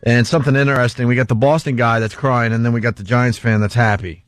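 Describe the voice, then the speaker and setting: A man's voice: mid-range voice, fairly even intonation, quick pace, no echo, faint music behind. A man hosting a radio talk show, chatting casually with informal, irreverent comedic banter into a close studio mic.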